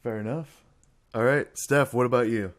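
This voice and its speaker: A man's voice: mid-range voice, normal speed. A young man hosting a casual podcast chat. He rambles, often restarts his sentences and laughs between thoughts. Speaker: podcast host.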